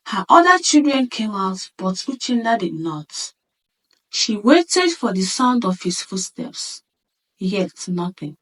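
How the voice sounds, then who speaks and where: Slow-paced woman storyteller, slightly distant. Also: medium pitch. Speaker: storyteller narrator. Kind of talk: scripted narration of a sad folktale.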